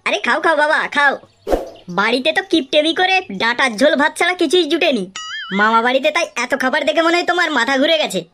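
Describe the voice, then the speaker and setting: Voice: sits high, expressive, fast talker, bright, forceful tone, faint music behind. An actor voicing a cheeky, blunt, foul-mouthed little boy in a comedy cartoon. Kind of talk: Bengali comedy cartoon dialogue.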